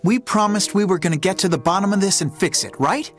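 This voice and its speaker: A woman's voice: medium pitch, quick pace, soft background music, lightly acted. A woman voicing a brash, determined teenage boy hero in a video game, blunt and casual in manner. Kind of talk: animated video game voice acting.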